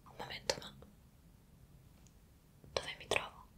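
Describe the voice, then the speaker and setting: A woman's voice: takes it slowly, sharp tone. A young woman playing a bewildered time traveller in a whispered ASMR roleplay, hesitant and full of wonder, close to the microphone. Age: young adult. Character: bewildered young woman magically transported to the past.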